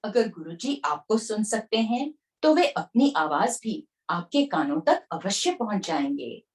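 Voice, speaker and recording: A woman reading aloud from a devotional book in an expressive narrating manner. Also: mid-range voice, measured pace.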